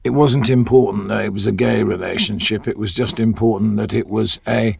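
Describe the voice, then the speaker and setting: A man's voice: on the low side, fairly even intonation, fairly quick, gravelly, a little mellow, slightly rough, dry recording, clean studio sound. A middle-aged man, an actor, talking reflectively in an interview. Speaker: audio drama actor.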